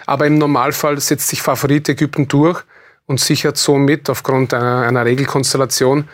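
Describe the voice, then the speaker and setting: A man's voice: medium pitch, flat delivery, a little fast. A man with an Austrian accent speaking earnestly and enthusiastically as an athlete guest in a studio interview.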